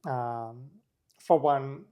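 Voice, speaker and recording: Young adult male guest from Sri Lanka, loose and conversational, mid-range pitch. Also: very slow. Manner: relaxed, many fillers like "like" and "um". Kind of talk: casual interview chat.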